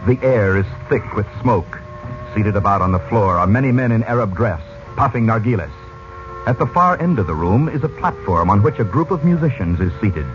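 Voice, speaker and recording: A man's voice: on the low side, expressive, moderate pace, slight background noise, lightly acted. A man narrating as a formal announcer, setting the scene in scripted 1940s radio serial style. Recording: muffled old radio sound, music behind.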